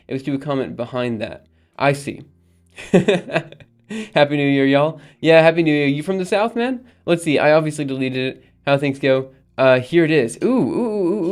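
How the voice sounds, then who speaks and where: A man's voice: on the high side, a little mellow. Rambling man chatting on a live stream. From Alabama. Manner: rambling, reads chat aloud and answers, frequent ums and laughs. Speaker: livestream host. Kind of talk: casual live-stream chat with viewers.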